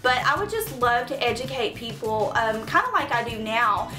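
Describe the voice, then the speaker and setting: A woman's voice: a little high, animated, normal speed, sharp tone, reverberant, soft background music. A woman in her twenties from Tennessee with a Southern accent, chatting casually through a Q&A vlog, earnest and full of "um" and "like". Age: 20s. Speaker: beauty vlogger.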